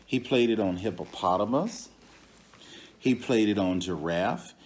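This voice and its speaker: A man reads a children's story aloud at a leisurely pace. His voice swings widely in pitch, and he talks directly to his listeners in an expressive storyteller's manner. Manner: expressive, pauses to question and comment to the young audience. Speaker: storybook reader.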